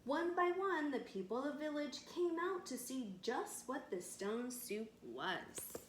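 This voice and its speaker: A woman with a fairly high voice reads a children's story aloud at a slow, deliberate pace. She stresses key words like a teacher and speaks in an echoey room. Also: sing-song. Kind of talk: expressive picture-book read-aloud with asides to young listeners. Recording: echoey room with faint background noise.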